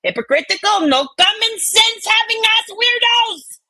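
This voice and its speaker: A woman's voice: very high-pitched, animated, measured pace, bright, forceful tone, no room sound, pitched above the performer's own voice, heavily acted. A woman voicing a brash, sassy, indignant Southern US woman who draws out her words as she rants in an online-drama reading.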